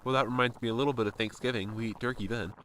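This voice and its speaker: Guy acting as worn out american soldier in an audio drama, sharp bright voice, hesitant, echoey room. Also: mid-range voice, steady tone, rapid-fire, lightly acted. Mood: curious. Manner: hesitant, faltering, plain-spoken. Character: weary, wounded, wary American soldier, downed airman. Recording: echoey room, faint background noise.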